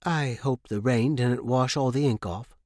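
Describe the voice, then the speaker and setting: A man voicing a firm, caring woman dragon keeper in a story, instructing and gently scolding. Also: medium pitch, unhurried, no room sound, studio quality, close to an everyday voice. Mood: casual, remembering.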